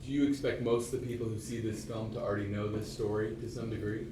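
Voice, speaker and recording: A man in the audience lays out a measured question in a fairly low voice. He sounds far from the microphone in an echoey hall with clear background noise. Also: flat delivery. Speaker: audience member. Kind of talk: asking a question at a Q&A. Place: screening hall.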